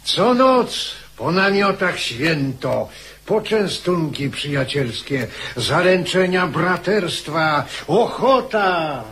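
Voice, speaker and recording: A man playing a soldier in a radio drama, recalling campaign life in a measured storytelling way. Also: fairly high pitch, very animated, a bit bright, a little hiss or hum, exaggerated voice. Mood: reminiscent.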